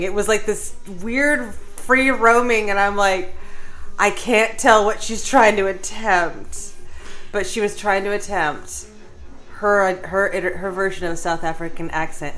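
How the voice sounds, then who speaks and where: A woman's voice: mid-range voice, measured pace, a bit bright, faint music behind. Wry woman podcaster. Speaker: podcast co-host. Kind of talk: casual humorous chat.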